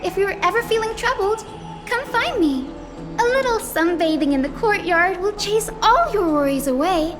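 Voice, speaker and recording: An actress voicing a sweet, eager young lady healer in a video game, with a gentle, polite, bubbly manner. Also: very high-pitched, animated, normal speed. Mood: kindly, upbeat. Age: young adult. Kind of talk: scripted video game dialogue. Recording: music clearly behind the voice.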